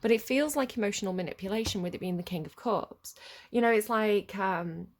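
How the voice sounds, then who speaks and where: A woman talks through a tarot reading in a loose, wandering way, stopping and restarting often. Her voice is sits at an ordinary middle pitch and is stuffy and sniffly from a cold. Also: brisk. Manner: rambling and hesitant, many ums and restarts. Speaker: tarot reader. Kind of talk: casual collective tarot reading to camera.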